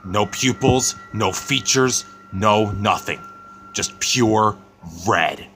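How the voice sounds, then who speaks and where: A man voicing an ominous monster storyteller, telling a spooky tale with drawn-out, dramatic emphasis in an amateur roleplay. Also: lowish voice, sharp tone, a little hiss or hum, heavily acted. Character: Zilla Dude ten, ominous monster storyteller.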